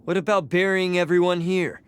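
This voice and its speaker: An actor playing a cool-headed, sly turncoat in a video game cutscene, speaking with dry, composed mockery. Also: male voice, high voice, steady tone, moderate pace, clear, resonant tone, close to an everyday voice. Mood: dry, mocking.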